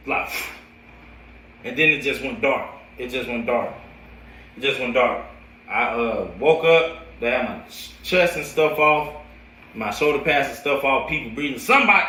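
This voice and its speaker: A young American man telling his own story casually in slangy, animated talk, as a coach would to friends. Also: medium pitch. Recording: roomy echo, distant from the mic.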